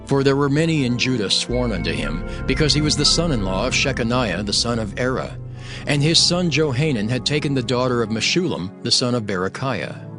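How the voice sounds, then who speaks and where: Man acting a solemn, steadfast ancient leader, mid-pitched. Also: animated, brisk, close to an everyday voice. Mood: solemn, resolute. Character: steadfast, devout male leader recounting his own story. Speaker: narrator and lead character. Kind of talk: dramatized scripture narration. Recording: music behind the narration.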